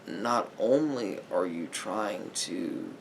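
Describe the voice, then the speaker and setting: A man playing a sympathetic therapist in an ASMR roleplay, giving gentle advice in a halting, repetitive, hedging way. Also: low voice, sing-song, creaky, slight background noise. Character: calm, sympathetic male therapist counselling a client.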